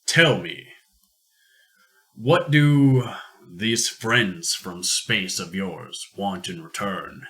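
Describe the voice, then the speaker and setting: Man acting rich industrialist, slow deliberate delivery, sharp bright tone, pompous, sardonic, radio play. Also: low voice, exaggerated voice. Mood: probing. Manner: measured, formal, pompous. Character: rich, worldly, sardonic industrialist, booming and indulgent.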